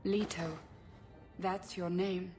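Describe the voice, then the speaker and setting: A woman's voice: lowish voice, level tone, takes it slowly, a little mellow, soft background music. An actress playing a regretful, resentful elf woman in a video game, speaking in an earnest, pained way. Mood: hesitant, regretful.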